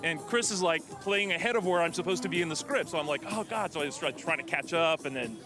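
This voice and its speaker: Guest: fast-talking man, animated storyteller, music bed behind. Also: fairly high pitch. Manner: animated storytelling, self-deprecating. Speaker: guest, creative director. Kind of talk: interview anecdote.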